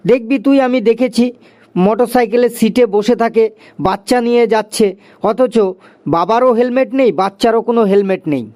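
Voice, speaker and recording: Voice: mid-range voice, steady tone, brisk, darkish tone, natural voice. A performer voicing a chatty, agreeable schoolboy in a cartoon, with a conversational, explaining manner. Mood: concerned, agreeing. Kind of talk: cartoon dialogue.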